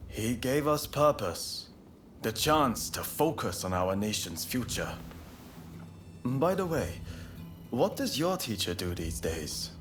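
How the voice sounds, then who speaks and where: An actor playing a fiery, proud and idealistic young samurai in a video game, with earnest, formal phrasing. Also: male voice, medium pitch, very animated, takes it slowly, fairly bright tone, soft background music. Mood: reflective, warm. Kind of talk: video game cutscene acting.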